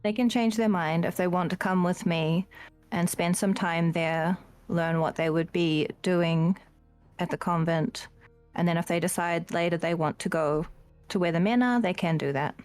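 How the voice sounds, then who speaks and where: A woman playing a tabletop roleplaying game, describing her character's actions with hesitant pauses. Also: lowish voice, darkish tone, dry recording. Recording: music playing behind the voice. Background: music.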